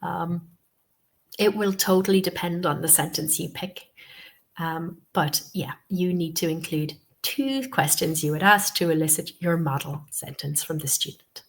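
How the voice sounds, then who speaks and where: A woman's voice: on the low side, a little slow. A woman tutor with a cold and a sniffly voice gives a webinar lesson. She talks patiently, repeats her key points and often says "okay" and "um". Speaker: TEFL course tutor. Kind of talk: webinar instruction.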